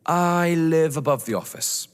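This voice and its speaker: An actor playing a young English lover, earnest, polite, proud and defensive, in a theatrical audio drama. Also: male voice, on the high side, measured pace, clear, resonant tone, close to an everyday voice. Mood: eager, proud. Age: young adult. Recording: echoey hall-like reverb.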